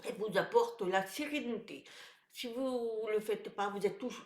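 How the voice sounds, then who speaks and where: A woman's voice: medium pitch, measured pace, echoey room. Nasal, congested French-speaking woman vlogger. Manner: hesitant, many "euh" fillers and restarts. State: congested with a head cold. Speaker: wellness vlogger. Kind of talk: casual talk to camera.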